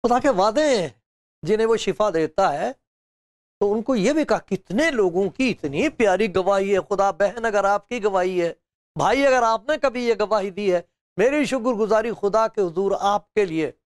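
A man's voice: high-pitched, animated, normal speed, no room sound. Older man preaching in a studio. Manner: storytelling, acting out quotes with laughs and gestures. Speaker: pastor. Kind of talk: sermon to camera. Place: studio with bookshelves, indoors. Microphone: lapel.

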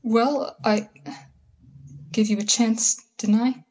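Husky, scheming survivor soldier, played by a woman. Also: a little high, fairly even intonation, darkish tone. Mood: fervent, scheming. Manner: folksy, slangy, halting emphatic phrases. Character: bitter, scheming survivor soldier with grand mad plans. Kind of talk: 1930s-style radio play acting.

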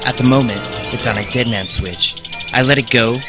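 An actor playing an earnest young rebel pilot hero in a video game cutscene. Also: male voice, mid-range voice, normal speed, loud music behind the voice, lightly acted. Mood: tense, defiant. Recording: loud orchestral game soundtrack behind.

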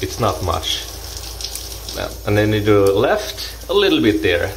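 Slow, low-voiced man touring his apartment. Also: big pitch swings, vocal fry, background noise. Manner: halting, hesitant, with restarts and playful asides. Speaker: vlogger giving a home tour. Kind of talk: casual home tour narration. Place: small apartment bathroom and kitchen indoors. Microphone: action camera worn on the body.

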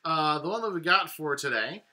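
A young man with a somewhat high, very bright and forceful voice. He chats casually as a co-host, recorded on a couch at home a little way from the computer's microphone. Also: animated, moderate pace, a little hiss or hum. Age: young adult. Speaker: host, singer. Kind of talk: casual chat. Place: small living room at home, on a couch.

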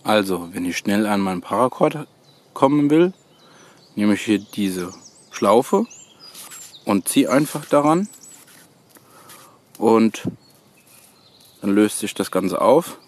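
A man's low voice at a woodland campsite, slowly and haltingly showing a beginner a practical trick while birds sing. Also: animated. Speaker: host of a bushcraft tutorial channel. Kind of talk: hands-on how-to demonstration. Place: outdoors on a woodland floor, birdsong behind.

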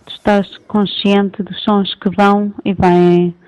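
A woman with a European Portuguese accent interpreting a guided meditation in calm, measured short phrases. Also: medium pitch, clear, resonant tone, lo-fi sound.

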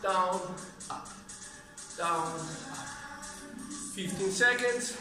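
A man's voice: high-pitched, very slow. A man in his thirties, a non-native English speaker, leading a workout as he demonstrates it, with short cued commands and physical effort in his voice. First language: not English. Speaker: fitness trainer. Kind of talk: workout instruction while demonstrating. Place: large indoor gym with mats. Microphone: camera several metres away. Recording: echoey gym, distant mic, music behind.

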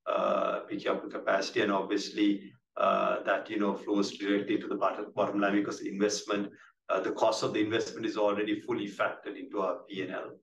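A man with a Sri Lankan accent answering questions as a company executive on an earnings call, halting and measured, often repeating words. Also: lowish voice, monotone, fairly bright tone. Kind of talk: business presentation, answering questions. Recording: roomy, distant mic with clear background noise.

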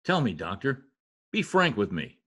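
A man's voice: mid-range voice, huge pitch swings, moderate pace, a bit bright. A middle-aged man playing an anxious, pompous, theatrical husband in old-time radio comedy style. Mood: worried. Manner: dramatic, exclaiming. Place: home office, over video call. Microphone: video call computer mic.

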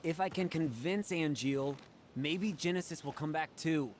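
A man's voice: fairly high pitch, measured pace, slight background noise, natural voice. A man playing an earnest, loyal and hopeful young male warrior in a video game. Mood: earnest, pleading. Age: young adult. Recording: loud game music over the dialogue.

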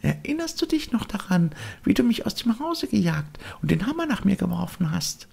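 A tiny storybook cricket, speaks in a very high, thin falsetto. The delicate little creature gently chides and advises. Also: male voice, sing-song, moderate pace, creaky, husky, exaggerated voice. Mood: gently chiding.

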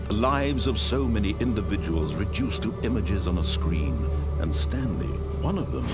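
Pompous omniscient game narrator, low voice, sweeping theatrical intonation, condescending, storybook delivery. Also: male voice. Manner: grandiose, dramatic, condescending. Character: omniscient storyteller narrator, pompous and controlling middle-aged man.

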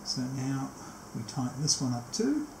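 A man's voice: mid-range voice, very animated. Guy in his 60s talking thru a hands-on job step by step, roomy echo, bit of background noise. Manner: step-by-step explaining, often pausing mid-task. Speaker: technician demonstrating a tape machine setup. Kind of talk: hands-on demonstration, unscripted walk-through. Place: small cluttered indoor workshop. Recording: echoey room, faint background noise.

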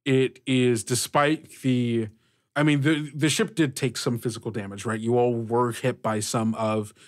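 A man narrating a tabletop game as game master, improvising in a halting way and addressing the players directly. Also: medium pitch, steady tone.

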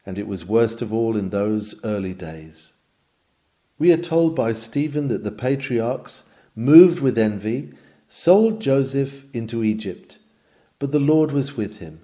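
Mellow-voiced man reading a sermon. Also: mid-range voice. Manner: solemn, measured, formal oratory. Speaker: reader of a sermon. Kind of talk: sermon read aloud.

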